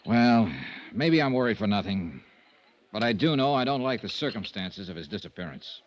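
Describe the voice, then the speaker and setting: A man playing a brisk, dogged, wry insurance investigator in a 1950s radio detective drama. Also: lowish voice, animated, highs cut off, natural voice. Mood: insistent. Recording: old radio drama recording.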